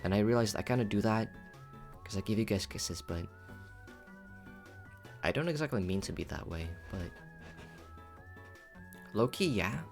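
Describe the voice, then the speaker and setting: A man's voice: lowish voice, animated, a little slow, gravelly, long pauses, a little mellow, soft background music. A man chatting casually on a livestream in a playful, cutesy, rambling way, often repeating himself. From Philippines. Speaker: VTuber livestreamer.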